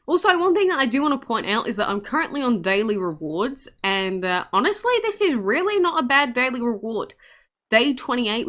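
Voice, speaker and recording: A woman's voice: mid-range voice, fairly bright tone. A woman giving casual, chatty gameplay commentary in an informal, self-deprecating way as a video host.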